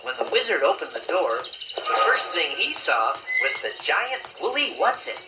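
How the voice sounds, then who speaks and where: A man playing a friendly storybook bear who narrates a children's tale in a measured way, with echoey playback. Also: a little high, big pitch swings, very noisy. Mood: storytelling.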